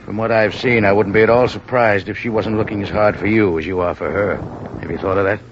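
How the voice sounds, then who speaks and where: An actor playing a loyal, blunt cab driver in an old radio drama, stubborn and protective. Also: male voice, fairly low pitch, fairly even intonation, fast, natural voice. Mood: guarded. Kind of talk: 1940s radio acting. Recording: hissy lo-fi old radio recording.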